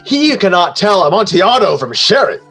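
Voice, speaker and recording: Drunken boastful gentleman, high voice, very animated intonation, pompous, excitable, scoffing, theatrical gothic drama. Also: brisk, faint music behind, full character voice. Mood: tipsy, scornful. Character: tipsy, boastful, excitable male wine connoisseur.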